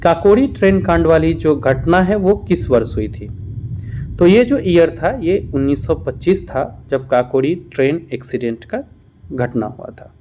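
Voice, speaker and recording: A man gives an exam-prep lesson, posing questions and then explaining each answer. His voice sits a little high for a man and has a soft, mellow tone. The recording is somewhat dull and lo-fi. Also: very animated, unhurried, slight background noise. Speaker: teacher, exam-prep instructor. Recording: dull, muffled lo-fi sound.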